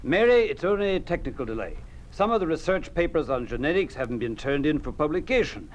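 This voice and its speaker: An actor playing a genial, evasive institute director, glib and soothing, in a 1950s radio drama. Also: male voice, a little high, sing-song, quick pace. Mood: placating. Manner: soothing, glib reassurance with hedging. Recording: noisy old radio broadcast recording.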